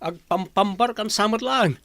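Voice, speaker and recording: A man's voice: high-pitched, unhurried, pushed and bright, no room sound, heavily acted. A man acting a callous, hot-tempered young boyfriend in an Ilocano radio drama. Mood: angry, scornful.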